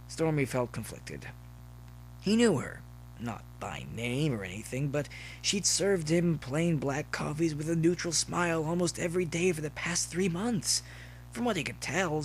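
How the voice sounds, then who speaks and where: A man's voice: medium pitch, slight background noise, low-quality recording. A man reading scripted prose as the narrator of a story. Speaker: narrator, fan fiction reader.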